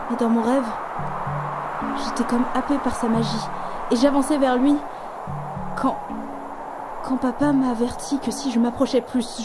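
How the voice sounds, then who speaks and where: Woman acting an earnest, higher-voiced young daughter. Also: natural voice. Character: earnest, dreamy young daughter, full of wonder. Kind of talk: French audio drama acting.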